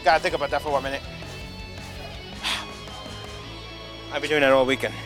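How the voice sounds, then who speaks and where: A man's voice: mid-range voice, fairly even intonation, moderate pace, music in the background. A young man co-hosting convention coverage in a playful, joking chat. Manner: playful, riffs on jokes and running gags. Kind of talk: casual joking banter.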